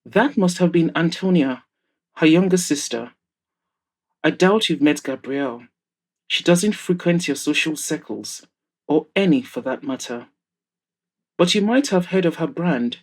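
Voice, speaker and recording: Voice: medium pitch, animated, measured pace, pauses often. A narrator voicing a cheeky, teasing bachelor friend in an audio story. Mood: teasing, knowing. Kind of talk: audiobook-style character dialogue.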